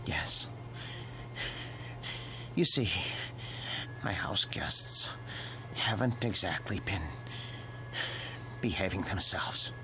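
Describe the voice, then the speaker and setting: An actor playing a lonely, devoted wax museum caretaker in an audio drama, hesitant and pleading, with a breathy voice that often drops to a whisper. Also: male voice, deep voice, very slow, long pauses, background noise, full character voice. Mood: uneasy, confiding.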